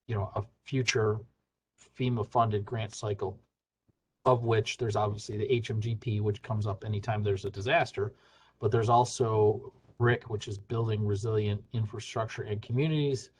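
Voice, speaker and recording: Low-voiced man explaining on a webinar. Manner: conversational, many fillers and restarts. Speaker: state hazard mitigation staff presenter. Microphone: video call.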